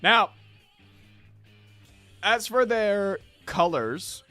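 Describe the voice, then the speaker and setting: A man with a slightly hoarse voice, hosting a casual livestream where he paints miniatures and chats playfully with viewers. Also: sits high, lively intonation, extremely slow, sharp tone. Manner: playful, chatty, addresses chat directly. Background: faint background music.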